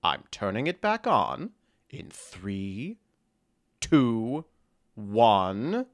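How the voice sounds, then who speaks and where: A man's voice: fairly low pitch, very animated, extremely slow, full character voice. An actor playing a haughty, impatient fairy king with an exasperated, commanding manner in an audio drama.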